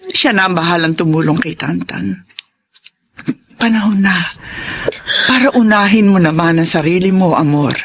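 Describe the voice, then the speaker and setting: A tender, husky Filipino mother. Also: on the low side, moderate pace, long pauses. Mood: loving, encouraging. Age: middle-aged. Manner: tender, earnest, emotional. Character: self-sacrificing, apologetic, loving poor mother. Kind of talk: Tagalog radio drama acting.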